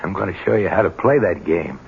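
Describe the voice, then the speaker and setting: Deep-voiced old ex-convict grandfather. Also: brisk, gravelly. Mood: humble, subdued. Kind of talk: 1970s radio drama acting. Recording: tinny, noisy old radio broadcast.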